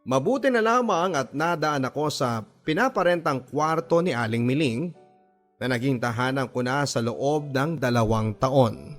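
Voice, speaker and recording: A man playing a gentle, earnest young grocery worker, telling his own story in measured, sentimental radio-drama narration with dramatic pauses. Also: medium pitch, animated, soft background music, natural voice. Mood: reflective, warm. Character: gentle, earnest 24-year-old male grocery worker recounting his life.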